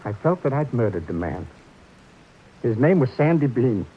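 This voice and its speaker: An actor playing a guilt-ridden, meek older ex-horse trainer in a vintage radio drama, talking earnestly and pleadingly. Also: male voice, very animated, moderate pace, a little hiss or hum, lightly acted. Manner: earnest, pleading, jumpy pitch. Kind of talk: 1940s radio acting. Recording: dull lo-fi old radio broadcast.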